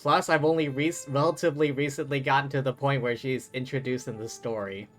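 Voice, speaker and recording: A man's voice: medium pitch, steady tone, a little fast, a bit bright, soft background music. A man livestreaming a game with casual commentary, chatty and thinking aloud. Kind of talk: casual live stream commentary.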